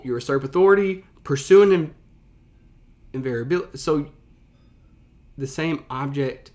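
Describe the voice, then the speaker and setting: A man speaking as a teacher in casual reaction commentary, explaining things conversationally and stressing key words. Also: mid-range voice.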